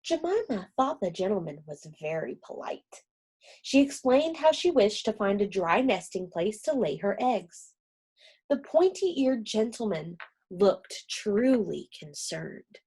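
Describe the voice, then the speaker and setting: Slow, breathy woman reading to kids. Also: medium pitch. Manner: gentle, expressive read-aloud. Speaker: storyteller. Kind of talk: children's story reading.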